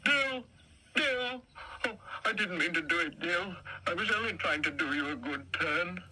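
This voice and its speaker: A man's voice: sits high, lively intonation, a little slow, pushed and bright, a little hiss or hum, heavily acted, rough recording. A man doing Parsley, a fussy fretful lion. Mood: distressed. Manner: fretful, grumbling. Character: fussy anxious male lion, easily flustered. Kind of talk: storybook reading.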